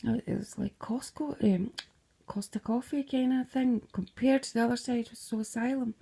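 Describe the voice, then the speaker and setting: Husky, gravelly Scottish woman rambling. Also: on the high side, steady tone, moderate pace, mellow. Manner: rambling, drops into whispery asides, swears casually. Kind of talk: casual dream retelling to camera.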